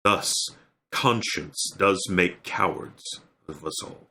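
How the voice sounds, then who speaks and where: A deep, forceful voice from a man playing a troubled young prince. He broods through a Shakespearean soliloquy in solemn, measured phrases, weighing each line as on a theatre stage. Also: heavily acted. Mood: resigned. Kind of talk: Shakespearean dramatic recitation.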